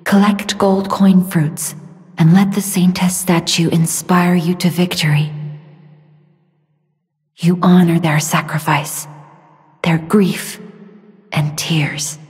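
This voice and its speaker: Casting: gentle young female mystic for a game, lowish soft breathy voice, very slow, solemn and earnest. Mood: sorrowful, reflective. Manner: hushed, earnest, pleading. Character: gentle, solemn young woman mystic guiding a chosen hero. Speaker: game character, guide. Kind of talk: video game voice acting, slow earnest exposition. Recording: long reverb, music behind. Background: music.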